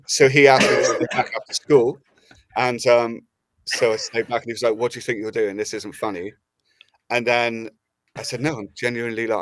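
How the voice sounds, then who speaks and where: A man, a band member on a podcast, telling stories in a relaxed way with some fillers. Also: lowish voice, no room sound. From United Kingdom. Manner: relaxed storyteller, hesitant fillers. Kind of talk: casual chat.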